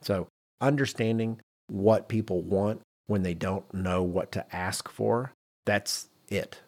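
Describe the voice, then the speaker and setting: Male podcast co-host, low register, conversational but emphatic explainer who repeats points for effect. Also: steady tone, slow, a little mellow. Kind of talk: conversational podcast discussion on leadership.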